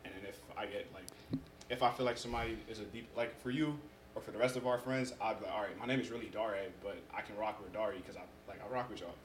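A young American-born man whose first language is Yoruba, telling his story in a relaxed, casual way as a podcast guest. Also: lowish voice, quick pace. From United States. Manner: relaxed storytelling, frequent fillers. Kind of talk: casual conversation. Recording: echoey room with background noise.

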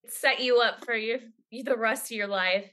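A woman's voice: a little high, normal speed, sharp tone. A woman hosting a casual chat interview, talking in an effusive, informal way with some swearing. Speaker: podcast host.

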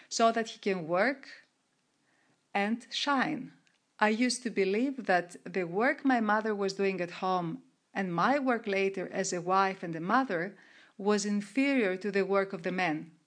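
A middle-aged woman from Greece, answering interview questions in a measured way with frequent restarts and fillers. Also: medium pitch. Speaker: guest, researcher and coach of women entrepreneurs.

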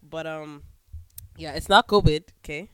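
A woman's voice: low voice, a little slow, clean tone, no echo, studio quality. A woman co-hosting a podcast in casual chat at her co-host's home, sounding stuffy from a cold and talking candidly with many restarts. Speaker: podcast co-host.

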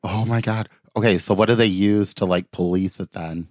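Low-voiced man joking on a podcast. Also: steady tone, moderate pace, darkish tone, no echo, clean studio sound. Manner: jokey, riffs on absurd scenarios, swears freely. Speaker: podcast co-host. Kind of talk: casual comedic chat.

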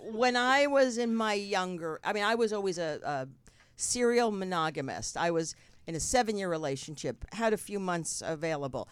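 A woman's voice: mid-range voice, lively intonation, moderate pace, clear, resonant tone. A woman in her late fifties hosting a casual chat, candid and chatty, swearing casually. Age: 50s. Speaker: host.

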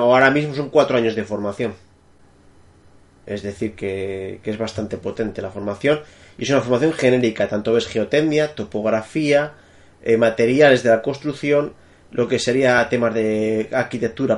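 A man from Spain with a Madrid accent, a technical architect answering interview questions over a remote call, thinking aloud as he goes. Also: mid-range voice. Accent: Madrid Spanish. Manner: thinking aloud, many fillers and restarts.

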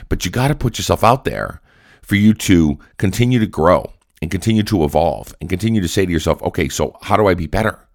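Man, very low voice, raspy from a cold, earnest coaching talk, dry close sound. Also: animated, fairly quick, no echo. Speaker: podcast host. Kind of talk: motivational solo podcast talk.

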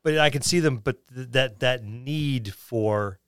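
A man's voice: medium pitch, measured pace, fairly bright tone, clean tone, dry recording, studio quality. A man co-hosting a gaming podcast, talking informally and agreeably and acting out little imagined exchanges. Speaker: podcast co-host. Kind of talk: casual chat about tabletop gaming.

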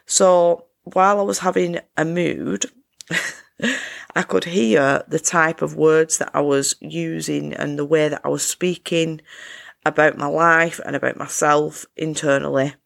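Croaky woman doing her solo podcast, super gravelly, chatty and upbeat. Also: deep voice, steady tone, unhurried. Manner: chatty, upbeat self-help talk. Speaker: podcast host. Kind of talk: casual solo podcast chat.

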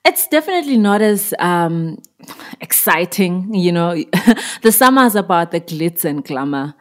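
Woman, 30s, from Zimbabwe, lowish voice, thoughtful musician guest. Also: big pitch swings, measured pace. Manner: reflective, with fillers and soft laughs. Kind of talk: podcast interview.

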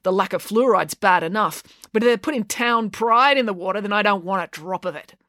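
A woman's voice: mid-range voice, fairly quick, fairly bright tone, no room sound, studio quality, voice pushed up, close to an everyday voice. A woman voicing an angry, combative teenage schoolgirl who talks in scornful, sarcastic retorts in an audiobook.

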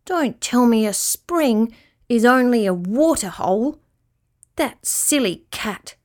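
Woman as a plaintive, high-voiced little sea rat. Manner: plaintive and indignant. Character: naive, fretful, easily offended little male sea rat. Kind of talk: children's storybook character voice.

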